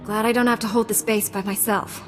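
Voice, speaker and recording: An actress playing a principled, calm heroine knight in a video game, speaking in an earnest, measured way. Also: female voice, on the high side, soft background music, natural voice. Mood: earnest urgency.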